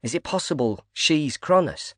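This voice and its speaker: A sharp-tongued female tabloid reporter pushes her questions in a raised, challenging voice, as a male narrator puts her on. The audiobook read is dry and close, with no room sound. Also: on the high side, lively intonation, normal speed, no room sound, voice pushed up. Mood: combative. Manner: challenging, pressing.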